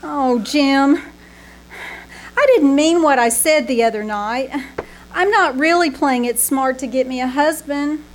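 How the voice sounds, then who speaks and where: A woman's voice: high voice, measured pace. A woman playing a flamboyant, daffy young Hollywood starlet with affected, dramatic delivery in an old-time radio comedy on stage. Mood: melodramatic pleading. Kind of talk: old-time radio comedy acting before a live audience. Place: small-town theater stage. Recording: noisy live theater stage recording.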